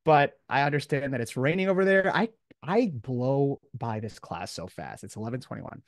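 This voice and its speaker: A man's voice: medium pitch, normal speed, no echo, studio quality. A man speaking as a college professor, giving an informal, encouraging lecture to his class in casual, slangy language.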